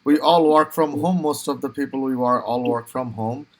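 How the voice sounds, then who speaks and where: A man's voice: mid-range voice, normal speed, a crowd in the background, low-quality recording. A young man from Bangladesh hosting a casual interview over a video call, talking with many fillers and restarts. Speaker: podcast host.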